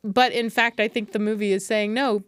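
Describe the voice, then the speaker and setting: A woman with a medium-pitched voice hosts a film discussion. She is thoughtful and emphatic in a conversational way. The recording is dry. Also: steady tone, normal speed, clean tone, no room sound. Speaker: podcast host, film theory teacher.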